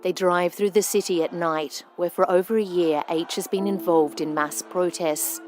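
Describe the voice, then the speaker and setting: A woman presenting a radio documentary, narrating a script in a measured, even way over a studio microphone. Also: sits low. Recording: music bed underneath.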